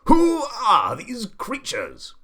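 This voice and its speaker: A man's voice: sits high, huge pitch swings, very slow, sharp tone, pitched above the performer's own voice, heavily acted. A man voicing a blustering, pompous, hot-tempered old aristocratic duke from a storybook, bellowing his lines. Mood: flabbergasted, outraged. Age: older. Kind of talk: storybook character voice.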